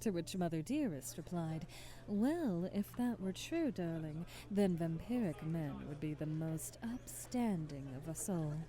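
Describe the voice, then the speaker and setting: A woman's voice: fairly low pitch, expressive, a little slow, warm tone, soft background music. A woman playing a haughty, aristocratic vampire hostess in an ASMR audio drama, speaking in a formal, theatrical and commanding way. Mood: smug, gracious.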